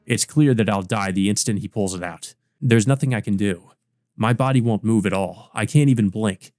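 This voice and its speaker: A man's voice: lowish voice, moderate pace, a little mellow, no room sound, studio quality. A man doing a let's play, reading visual novel narration aloud and adding casual commentary of his own. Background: game music.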